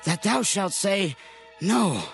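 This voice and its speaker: Tired thirsty army commander on the run, bible drama, highish voice, begging and bossy. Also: male voice, sing-song, slow pace, vocal fry, a bit bright, soft background music, heavily acted. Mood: weary, urgent. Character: Sisera, weary thirsty fleeing army commander. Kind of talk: dramatized Bible audio acting.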